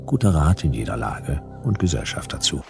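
An actor playing a world-weary private detective, narrating a sci-fi radio play in a laconic, ironic, hard-boiled style. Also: male voice, a bass voice, big pitch swings, fairly quick, gravelly, music in the background, exaggerated voice. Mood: wry.

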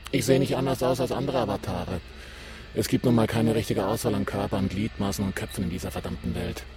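A man's voice: on the low side, a little fast, lightly acted. Brash, flirty gamer guy played by an actor. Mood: impressed, casual. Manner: slangy, casual, brash. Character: cool, flirtatious male gamer behind an online avatar. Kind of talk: scripted radio drama acting. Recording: music behind the voice.

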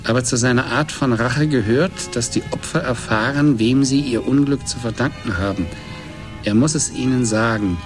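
A man playing a thoughtful, calmly defiant writer in a German radio play, with a reflective, measured manner. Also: mid-range voice, over music, natural voice. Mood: reflective, resolute.

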